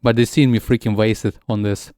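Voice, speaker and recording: A man's voice: on the low side, steady tone, normal speed, mellow, no room sound, clean studio sound. A man whose first language is Russian, chatting casually while streaming a game, often stumbling and repeating words. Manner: switches between English and Russian. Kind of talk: casual gaming stream chat.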